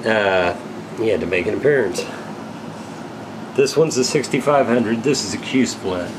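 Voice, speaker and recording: A man giving a casual, unscripted product rundown as a gear reseller, halting and technical in a matter-of-fact way. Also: on the low side, lively intonation, background noise. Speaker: radio equipment reseller presenting gear.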